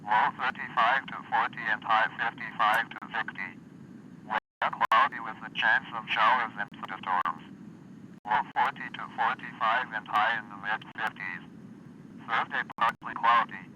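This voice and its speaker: A synthesized male computer voice reading an automated weather broadcast in an even, clipped, word-by-word delivery, off a lo-fi radio recording. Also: medium pitch, normal speed. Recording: dull lo-fi radio recording with faint hiss.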